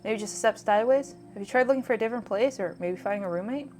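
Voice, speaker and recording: A woman's voice: fairly high pitch, racing, a little mellow, faint music behind, close to an everyday voice. A woman reading a visual novel's friendly small-town woman, chatty, curious and earnest.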